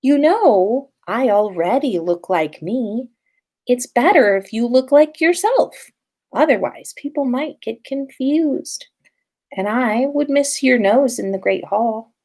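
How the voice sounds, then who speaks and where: Serene, whimsical teen schoolgirl played by a woman, gently teasing, lifted pitch, storybook fantasy. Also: expressive, takes it slowly, full character voice.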